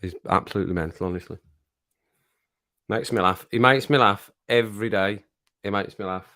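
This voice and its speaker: A man with a slightly low, faintly croaky voice rambles through a relaxed livestream from home. He chats loosely with viewers and laughs easily between remarks. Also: normal speed. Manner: rambling, chatty, laughs often. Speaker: livestream host reading chat. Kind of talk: casual chat to a live audience. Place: at home with his pets. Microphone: home streaming mic with compressor.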